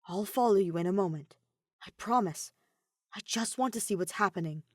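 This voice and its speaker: A woman voicing an anxious, evasive teenage boy in an audio story, earnest and hurried in his reassurances. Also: mid-range voice, darkish tone, dry recording, clean studio sound, natural voice. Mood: worried pleading.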